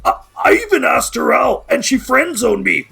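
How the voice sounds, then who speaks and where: Man acting an excitable, raspy, high-voiced guy. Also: normal speed, heavily acted. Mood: shocked, incredulous. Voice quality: gravelly, raspy. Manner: incredulous, theatrical. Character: excitable, nosy, dramatic young male friend. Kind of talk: amateur audio drama acting.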